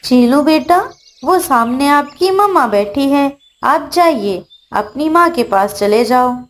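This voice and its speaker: Motherly cartoon sparrow voiced by a woman: high, slow and caring. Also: expressive. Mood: reassuring. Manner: polite, motherly. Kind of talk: Hindi children's cartoon dialogue.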